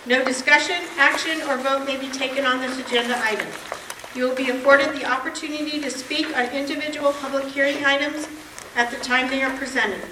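A woman chairs a town board meeting, reading procedural rules in a brisk, plain way. Her voice sits high. She is caught from a distance in a roomy, echoing hall with clear background noise. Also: steady tone. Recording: echoey meeting room from far off, noisy.

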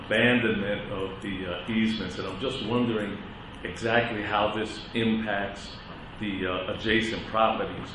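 Man, loud voice, hesitant questioning, low pitch, far off in a reverberant hall. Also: steady tone, normal speed. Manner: hesitant, many fillers and restarts. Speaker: church representative speaking at a hearing. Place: public meeting chamber. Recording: distant, echoey chamber with noisy background.

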